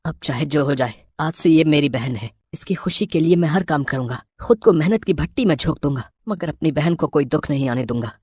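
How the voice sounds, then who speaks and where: A woman's voice: low-pitched, animated, fairly quick, few pauses, a little mellow, breathy edge, dry recording, clean studio sound. A woman voicing an earnest twelve-year-old orphan boy, tender and determined, in a dramatized moral story. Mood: earnest resolve.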